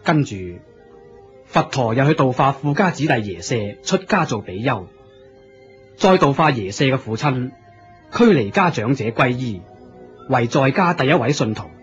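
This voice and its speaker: A man's voice: mid-range voice, animated, normal speed, soft background music. A man with a Hong Kong Cantonese accent narrating a scripted radio story as the drama's storyteller. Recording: muffled lo-fi radio recording.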